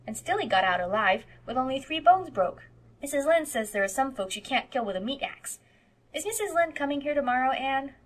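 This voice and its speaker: A woman reader plays a stubborn eight-year-old country boy from a storybook. He speaks in blunt, insistent bursts with homely childish grammar, pushed up higher and louder. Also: lively intonation, a little fast, rough recording. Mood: defiant, matter-of-fact. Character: defiant, plain-spoken eight-year-old farm boy. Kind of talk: audiobook character voice.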